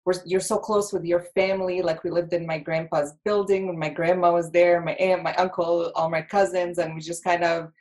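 A woman's voice: fairly low pitch, flat delivery, moderate pace, few pauses, a little mellow. A woman in her thirties from Egypt, a podcast guest talking chattily with lots of laughs and fillers. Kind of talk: casual interview chat.

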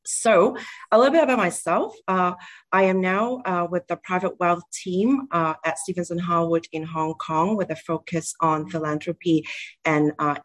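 A woman speaks as a panelist at a professional event. Her voice sits a little low. She explains herself in a hesitant way, often pausing on small filler sounds. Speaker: panelist, lawyer. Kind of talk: spoken panel introduction.

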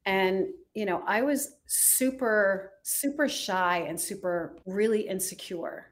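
Woman from Rhode Island, interview guest, relaxed, chatty, mid pitch. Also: slow pace. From United States, Rhode Island. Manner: chatty, relaxed, many fillers like "like" and "you know". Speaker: guest, fashion designer. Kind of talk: casual interview chat.

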